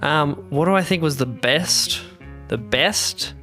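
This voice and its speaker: A man's voice: a little high, expressive, takes it slowly, sharp tone, faint music behind. A man co-hosting a casual podcast, hesitant and searching for his words. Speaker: podcast co-host. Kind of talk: casual chat.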